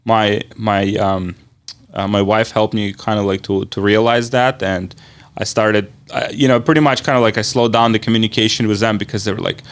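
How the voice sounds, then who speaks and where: Halting, rambling male interviewer. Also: fairly low pitch, fairly even intonation. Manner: halting, rambling phrasing with repeated filler words. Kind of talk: casual conversational interview.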